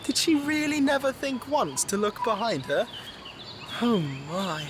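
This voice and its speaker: Woman playing an animated, plucky young marten boy. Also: a little high, measured pace, noisy background. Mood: exasperated. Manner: proper, earnest, exclamatory. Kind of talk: audio drama acting.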